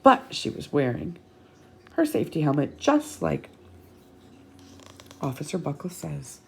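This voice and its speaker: Woman, storytime host, low voice, unhurried, dramatic picture-book reading, teacherly. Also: sing-song, gravelly, slight background noise. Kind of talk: expressive picture-book read-aloud with asides to children.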